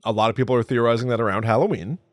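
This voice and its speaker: A man co-hosting a casual film discussion podcast, talking in a jokey, halting way and repeating words for comic emphasis. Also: medium pitch, dry recording. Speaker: podcast co-host.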